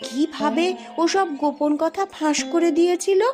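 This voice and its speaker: A woman's voice: very high voice, normal speed, darkish tone, soft background music. A woman voicing a responsible young elder sister, an exiled princess in a cartoon, earnest and admonishing. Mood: anxious reproach. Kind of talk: cartoon story dialogue.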